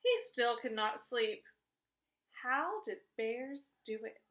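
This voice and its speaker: A woman reads a picture book aloud with a bright, high voice. Her delivery is expressive and aimed at young children, with melody that swings widely from phrase to phrase. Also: slow pace. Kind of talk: read-aloud children's storytelling.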